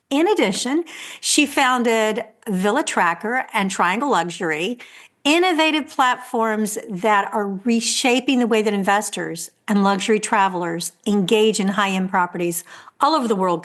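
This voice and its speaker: A woman hosting a podcast, introducing and interviewing her guest in an upbeat, emphatic, effusive way. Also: mid-range voice. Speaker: podcast host.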